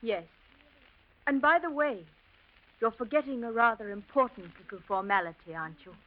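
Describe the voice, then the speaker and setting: A woman acting a poised, quietly bitter wife in a 1940s radio drama, composed and pointed. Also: a little high, moderate pace, close to an everyday voice. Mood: cool, reproachful. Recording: tinny, hissy vintage radio recording.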